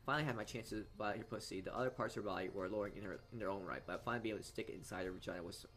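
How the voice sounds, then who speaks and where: Male let's-player, low pitch, halting narration with asides, background music. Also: a bit bright. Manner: halting reading with frequent stumbles. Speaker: video game let's-player. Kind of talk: reading a visual novel aloud with asides.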